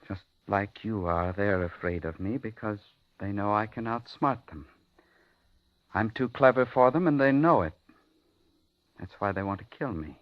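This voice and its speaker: A muffled 1950s radio recording carries a paranoid madman. His voice is fairly low and soft-spoken, with coaxing politeness and menace, as in an old thriller. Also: lively intonation, unhurried, dry recording. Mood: paranoid, boastful.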